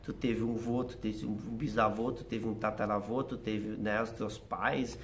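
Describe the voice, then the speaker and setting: A man's voice: fairly low pitch, steady tone, unhurried, a little hiss or hum. A middle-aged man with a Rio Grande do Sul accent touched by German settler speech, telling stories reflectively in an interview. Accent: Rio Grande do Sul Portuguese with a German-settler lilt. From southern Brazil. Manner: reflective, nostalgic storytelling with frequent "né". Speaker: guest, theater writer and actor. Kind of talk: casual conversational interview.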